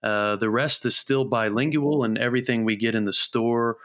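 A man from Canada hosting a casual interview in a thoughtful, measured way. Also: medium pitch, fairly even intonation, fairly bright tone. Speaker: host.

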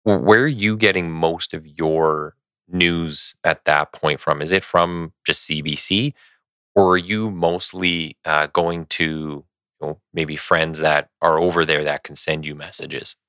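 A podcast host asks questions in an easygoing, casual way. His voice is extremely deep yet bright and forceful in tone, and it is recorded close and dry. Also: steady tone, measured pace, dry recording. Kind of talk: casual interview talk.

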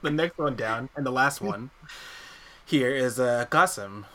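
A man in his mid-twenties hosting a casual podcast chat, playful and quick to laugh as he leads the introductions. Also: mid-range voice, expressive, a bit bright. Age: 20s. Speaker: podcast host.

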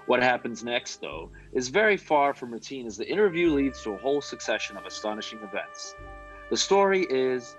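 A man's voice: medium pitch, sing-song, brisk, fairly bright tone, lo-fi sound. An American man reading a scripted announcement in the style of a 1950s radio announcer. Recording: vintage radio broadcast with music.

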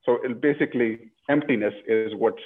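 A man's voice: medium pitch, brisk, husky. A man from Pakistan, a guest in a casual interview, speaking reflectively with many restarts and "you know"s. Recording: tinny phone-like line.

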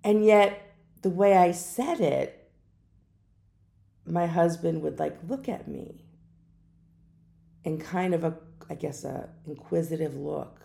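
A middle-aged woman hosting her own podcast, speaking in an unhurried, musing way with some hesitations. She has a naturally deep voice that is hoarse from a lingering cough. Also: dark tone. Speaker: podcast host. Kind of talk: reflective solo podcast talk.

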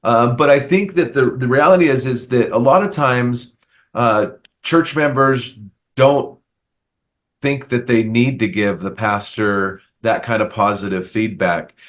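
Middle-aged male interviewer, a pastor, at a mid-range pitch; earnest and encouraging, restarts sentences. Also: measured pace, fairly bright tone. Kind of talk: conversational interview.

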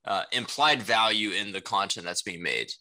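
Interview guest: young man, Mandarin native speaker, sharp cutting tone, lowish register, loose conversational delivery peppered with tag questions. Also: normal speed. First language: Mandarin Chinese. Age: young adult. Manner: rambling, frequent "you know" and "right?" tags. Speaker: interview guest, salesperson and rapper. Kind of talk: casual conversational interview answers.

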